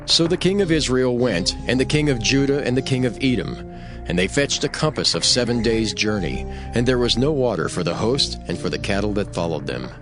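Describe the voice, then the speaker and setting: A man's voice: medium pitch, expressive, brisk, over music. A man narrating dramatized scripture in a formal, solemn storytelling manner.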